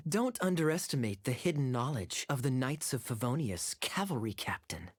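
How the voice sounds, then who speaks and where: An actor playing a suave, teasing young knight captain in a video game, with a sly, playful, smooth delivery. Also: male voice, mid-range voice, big pitch swings, moderate pace, no room sound, studio quality.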